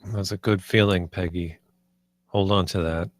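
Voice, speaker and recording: A man's voice: sits low, fairly even intonation, measured pace, no room sound. A man reading the part of a downcast, subdued creative developer at a screenplay table read.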